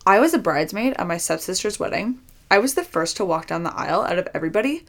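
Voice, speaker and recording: A woman's voice: on the low side, lively intonation, fast talker. A young woman co-hosting a podcast in casual chat, talking loosely with lots of "like" fillers. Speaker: podcast co-host.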